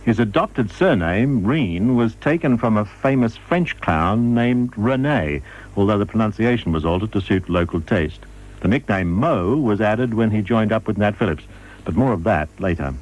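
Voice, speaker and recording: A man reading scripted radio documentary narration in a measured, formal manner. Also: on the low side, highs cut off.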